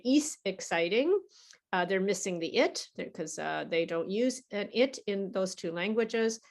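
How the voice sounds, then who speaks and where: Middle-aged woman teaching english sounds, real slow and patient, stretches out the example words, mid-range voice. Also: mid-range voice. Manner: patient, over-articulates target vowels for the learner.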